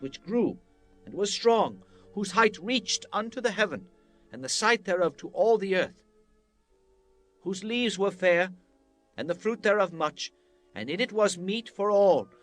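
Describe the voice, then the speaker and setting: A high-voiced earnest prophet. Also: male voice, fairly bright tone. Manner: earnest, deferential, measured oratory. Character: earnest, respectful prophet counseling his king. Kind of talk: dramatized scripture reading, solemn.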